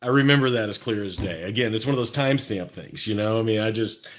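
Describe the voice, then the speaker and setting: A man's voice: fairly low pitch, fast talker. A man from Missouri with a Midwestern accent, talking as a guest in a casual interview, rambling and hesitant with many fillers and restarts. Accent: Midwestern American. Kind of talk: casual video chat interview.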